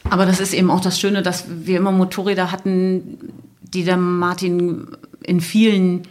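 A woman's voice: on the low side, level tone, normal speed, a little mellow. A middle-aged woman chatting as a podcast guest, telling anecdotes in casual, run-on lists. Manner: run-on, listing delivery. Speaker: podcast guest, motorcycle traveller.

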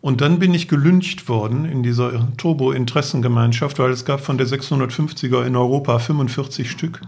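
A man's voice: medium pitch, brisk, creaky, darkish tone. A middle-aged man telling detailed anecdotes informally as a guest on a podcast, in a matter-of-fact way. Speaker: podcast guest, motorcycle traveller.

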